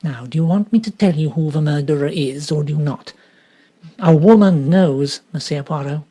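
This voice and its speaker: A man's voice: fairly high pitch, moderate pace, mellow, natural voice. A man voicing a forthright, stubborn elderly English lady who speaks emphatically. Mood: insistent. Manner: emphatic, insistent.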